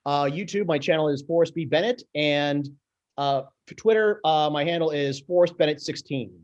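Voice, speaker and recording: A man's voice: a little high, normal speed, a little mellow, muffled recording. A man speaking casually as a participant in a group chat. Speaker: participant in a script-reading club.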